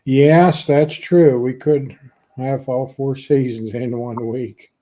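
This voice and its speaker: A man's voice: medium pitch, steady tone, slow. A man from Pennsylvania hosting a casual livestream chat, talking easily with lots of "you know" and laughter. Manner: chatty, warm laughs, many "you know" fillers. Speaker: podcast host.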